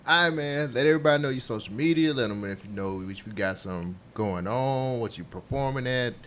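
A man's voice: medium pitch, a little fast, fairly bright tone, a little hiss or hum. A man hosting a laid-back, informal interview in conversational speech. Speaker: interviewer, host. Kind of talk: casual interview chat.

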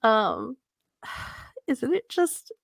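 A woman's voice: a little high, wildly swooping pitch, extremely slow, vocal fry, no room sound, studio quality. A woman co-hosting a podcast, speaking informally and reflectively as she discusses a book. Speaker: podcast co-host.

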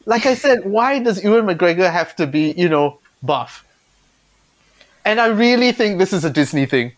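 A chatty woman in casual banter keeps pressing questions and fills her speech with "like". Her pitch moves a lot. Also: animated, moderate pace. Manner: questioning, chatty, says "like" often.

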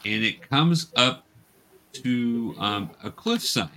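A man working as game master, narrating a tabletop game to young players in a halting, explanatory way. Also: medium pitch, expressive, pushed and bright. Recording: clear background noise, clicks.

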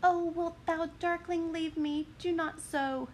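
A woman's voice: extremely high pitch, fairly even intonation, slow, slight background noise. A woman voicing a desperate, lovesick young woman, pleading urgently in dramatic verse.

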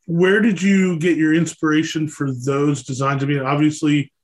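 A man's voice: fairly high pitch, little pitch movement, moderate pace. An American man from Pennsylvania asking questions as a co-host in a casual interview chat.

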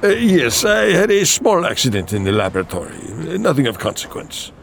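An actor playing a tormented, sorrowful mad scientist in a campy horror audio drama, halting and melodramatic. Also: male voice, on the high side, sing-song, creaky, slight background noise. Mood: evasive.

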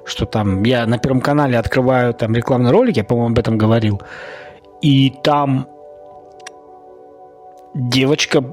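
A man hosting a podcast, talking in an informal, opinionated monologue with rhetorical questions and halting, colloquial phrasing that repeats words. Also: medium pitch, darkish tone, soft background music. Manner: argumentative, poses rhetorical questions. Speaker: podcast host.

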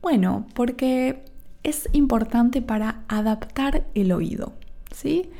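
Woman, Argentine accent, podcast language teacher, unhurried, soft warm tone, checks in with listeners. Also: fairly high pitch, expressive, creaky. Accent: Argentine Spanish. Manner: slow and careful, checks in with "¿sí?". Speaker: Spanish teacher and podcast host. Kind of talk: podcast lesson for learners.

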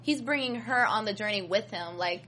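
A woman's voice: medium pitch, moderate pace, bright, forceful tone, a little hiss or hum. A woman chatting casually as one of the hosts on an after-show panel. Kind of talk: casual chat.

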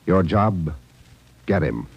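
A man's voice: low-pitched, expressive, slow, creaky, husky, muffled recording. A man giving terse, punchy, dramatic 1940s radio narration. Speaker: radio announcer. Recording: old radio recording over loud brass music.

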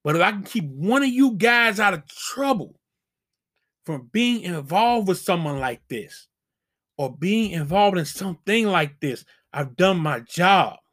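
A man's voice: sits high, expressive, measured pace, fairly bright tone. A middle-aged man hosting a channel, giving casual dating advice as an emphatic, preachy warning full of slang.

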